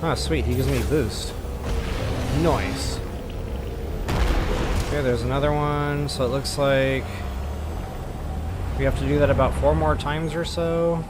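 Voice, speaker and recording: A man's voice: medium pitch, fairly even intonation, unhurried, long pauses, heavy background noise. A man giving casual, informal gameplay commentary as a walkthrough host, partly talking to himself as he plays. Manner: informal, talks to himself while playing.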